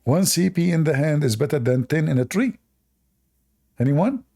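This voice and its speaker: A man's voice: fairly high pitch, animated, moderate pace, gravelly, warm tone. A man hosting a live-stream debate, talking to the chat in a sarcastic, mocking way. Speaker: livestream debate host.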